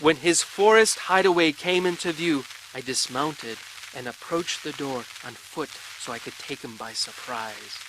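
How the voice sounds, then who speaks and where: An actor playing a pompous, grimly vengeful storyteller hero in a video game, delivering a florid and theatrical monologue. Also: male voice, medium pitch, measured pace, hardly any pauses, lightly acted. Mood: grim, ominous. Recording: rain and storm noise behind. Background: rain ambience.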